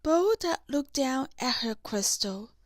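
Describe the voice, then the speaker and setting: A woman narrating a scripted children's storybook in deliberate, separate phrases. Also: sits high, animated, dry recording, studio quality. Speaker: audiobook narrator.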